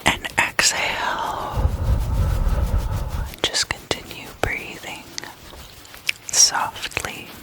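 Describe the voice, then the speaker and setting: A person whispering a guided meditation in a breathy, unvoiced voice, giving instructions in halting, spaced-out phrases.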